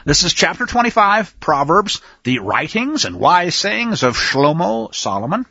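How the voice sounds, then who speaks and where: A man's voice: sits high, sing-song, measured pace, creaky, pushed and bright, slightly rough, dry recording, lo-fi sound. A man teaching from the Bible in a folksy, emphatic way, with sarcastic asides and frequent restarts. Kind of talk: folksy scripture commentary.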